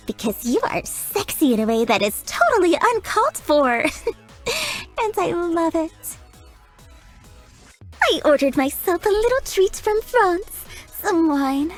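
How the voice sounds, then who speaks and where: High-voiced flirty game maid, slow and teasing, giggles a lot. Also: female voice, exaggerated voice. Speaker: chambermaid character. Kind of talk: video game voice lines.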